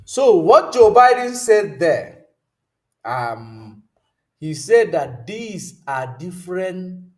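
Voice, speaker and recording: Slow, high-voiced man talking politics. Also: very animated, darkish tone. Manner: rambling, searches aloud for words with many ums; answers viewer comments mid-talk. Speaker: host. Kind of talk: political commentary livestream.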